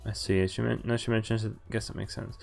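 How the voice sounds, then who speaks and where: A man's voice: lowish voice, level tone, fast talker, creaky, soft background music. A male streamer reading a visual novel aloud, breaking off now and then with offhand comments. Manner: reads text aloud, breaking off with offhand asides; loud, exaggerated yelps when voicing pained lines.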